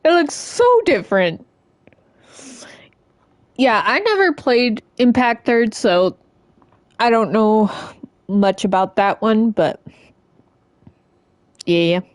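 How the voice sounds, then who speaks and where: A woman game streamer chatting casually and informally as she plays, in a halting, rambling way. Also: on the high side, animated, dry recording, studio quality. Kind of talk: casual live-stream chat while playing.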